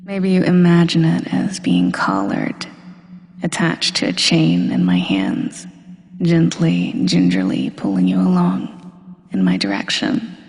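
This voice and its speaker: A woman performing an intimate, commanding hypnosis roleplay monologue, teasing and deliberate, often dipping toward a breathy near-whisper. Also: fairly low pitch, sing-song, a little mellow. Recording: long reverberant hall-like echo.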